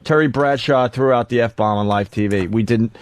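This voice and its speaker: A man with a medium-pitched voice hosts a casual talk radio show. He moves between callers and co-hosts in a blunt, conversational way. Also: normal speed, slight background noise.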